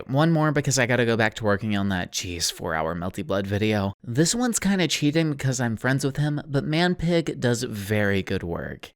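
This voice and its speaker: A man narrating a casual scripted video as a YouTube essayist, wordy, slangy and self-deprecating in a wry way. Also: mid-range voice.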